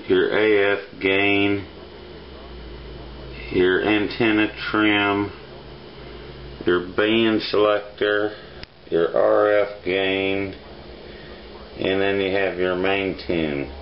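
A middle-aged man talking casually and haltingly in a plainspoken way, as if showing a gadget on a home video. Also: fairly low pitch, little pitch movement. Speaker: amateur vlogger, radio hobbyist. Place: small indoor room, kitchen-like. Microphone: handheld camera at arm's length. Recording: dull lo-fi camera audio, clear noise.

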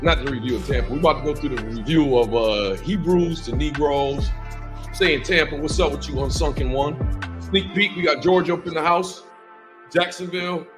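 A hoarse man in his thirties, losing his voice, hosting a casual livestream from a small room and greeting viewers by name. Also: fairly high pitch, normal speed, over music. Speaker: livestream host.

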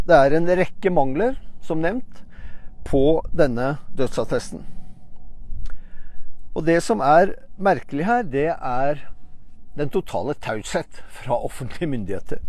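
A man's voice: fairly high pitch, dark tone, no echo. An older man, sitting on a mountaintop and speaking to camera on a lapel mic, reading a letter aloud and then asking pointed questions with hesitant fillers. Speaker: channel host. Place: outdoors on a rocky mountaintop.

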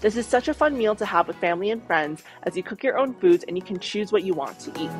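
A woman's voice: medium pitch, expressive, fast. A woman narrating a travel vlog voice-over in an informative, upbeat tour-guide manner. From Taiwan. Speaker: travel vlogger. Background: faint background music.

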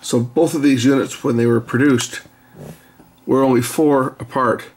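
A middle-aged man talks through a hands-on repair in a conversational, explanatory way. His pitch is average for a man. The recording is made at an indoor workbench, with faint noise behind the voice. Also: steady tone, moderate pace. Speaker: repair video host. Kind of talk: casual hands-on repair commentary. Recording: noisy room recording.

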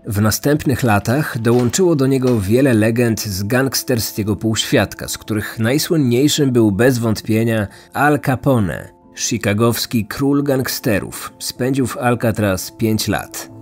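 A man narrating a scripted true-crime podcast as its host, measured and serious. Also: medium pitch, husky. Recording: music bed under voice.

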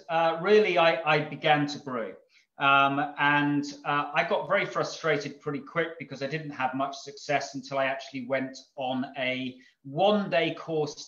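A middle-aged man talks through his hobby in a loose, rambling way, full of ums, restarts and little chuckles. His voice sits slightly high for a man. The sound is dull video call audio from an echoey room at home. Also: fairly bright tone. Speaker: brewery owner. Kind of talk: casual webinar talk. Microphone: video call microphone.

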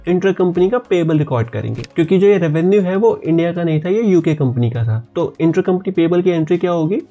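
A man's voice: fairly high pitch, mellow, lo-fi sound. A man in his twenties with an Indian accent, giving an instructional explainer as a tutor, often asking questions and answering them himself. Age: 20s. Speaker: accounting tutor and channel host. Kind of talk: explainer lesson to camera with scripted examples. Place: small dim bedroom, indoors. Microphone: lapel mic. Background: background music.

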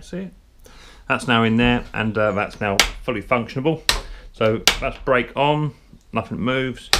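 A man, casually talking through a chainsaw brake repair in his workshop, chatty and a bit self-deprecating. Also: lowish voice. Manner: chatty, self-deprecating, thinks aloud while working. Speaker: small engine repair video host. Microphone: head-mounted camera. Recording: clear background noise and tool clicks.

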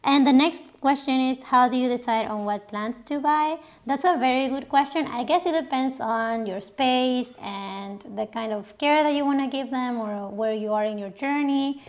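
Young woman, chatty host, a touch high-pitched, camera a few feet off. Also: moderate pace, few pauses. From Mexico, raised partly in Canada. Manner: chatty, laughs often, many restarts. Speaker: channel host. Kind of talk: casual Q&A chat. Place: living room sofa, indoors. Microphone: camera a few feet away.

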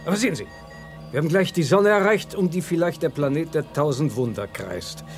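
An actor playing a calm, reasoning professor in a sci-fi audio drama, measured and explanatory. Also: male voice, fairly high pitch, faint music behind. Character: calm, reasoning male scientist professor aboard spaceship.

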